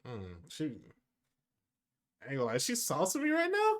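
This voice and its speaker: A young man hosting a reaction video, giving excitable, slangy commentary and repeating his phrases. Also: a little high, normal speed.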